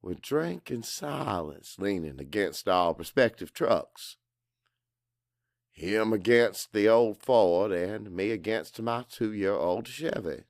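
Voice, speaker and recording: A man narrating first-person dramatic fiction as an audiobook, expressive and deliberate. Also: on the low side, dry recording, clean studio sound.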